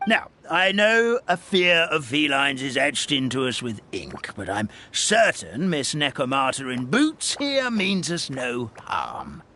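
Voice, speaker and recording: Slow, swooping courteous old grandfatherly creature. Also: medium pitch, huge pitch swings, pushed and bright, slight background noise, exaggerated voice. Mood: fussy, reassuring. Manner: formal, ceremonious, old-fashioned politeness. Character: courteous elderly male origami forest animal, grandfatherly elder. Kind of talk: scripted game dialogue.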